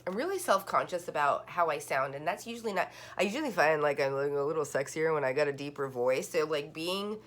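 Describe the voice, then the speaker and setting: Low-voiced woman giving a rambling tarot reading. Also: animated, brisk, few pauses. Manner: rambling, with many "like" fillers and restarts. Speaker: tarot card reader. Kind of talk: casual online tarot reading.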